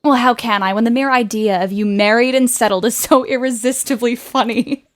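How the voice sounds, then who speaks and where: A woman acting in an audio play as an outspoken young woman writer, earnest and expressive. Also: a little high, a little fast, natural voice. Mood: teasing.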